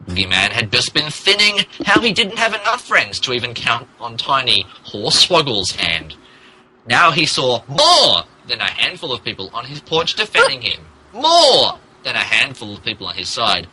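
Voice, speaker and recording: A man's voice: mid-range voice, wildly swooping pitch, normal speed, bright, forceful tone, a little hiss or hum, low-quality recording. A man reading fan fiction aloud in a mock-dramatic style, poking fun at the mistakes in the text as he goes. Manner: stumbles over and mocks the text's typos. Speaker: podcast host reading aloud.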